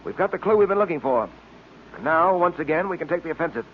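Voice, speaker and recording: An American actor playing a confident, brainy police detective in an old radio serial, explaining things with composure. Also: male voice, fairly high pitch, lively intonation, fast, a little hiss or hum, close to an everyday voice. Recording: tinny, band-limited old radio recording.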